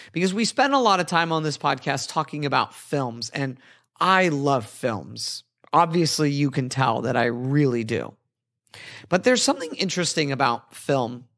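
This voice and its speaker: A man hosting a solo podcast, his voice hoarse and raspy as it slowly comes back. He talks in an earnest, chatty way and corrects himself mid-sentence. His voice sits a little high for a man. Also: a bit bright. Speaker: podcast host.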